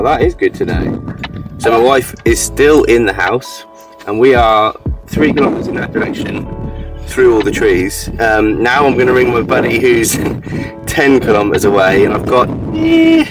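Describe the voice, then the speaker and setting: British man vlogging outdoors, loud music behind. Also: mid-range voice, sing-song, normal speed, poor audio. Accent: British English. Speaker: vlogger reviewing walkie-talkies. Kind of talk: casual outdoor vlog chat. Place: outdoors, windy.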